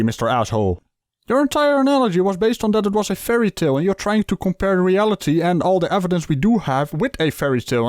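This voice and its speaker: A man's voice: sits high, dry recording, clean studio sound. A man giving mocking running commentary as a video host, with a sarcastic, theatrical and teasing delivery.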